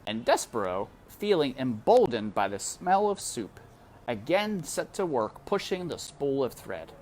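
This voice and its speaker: A woman narrating a children's novel aloud with expressive, deliberate, dramatic phrasing. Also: extremely low pitch, slight background noise.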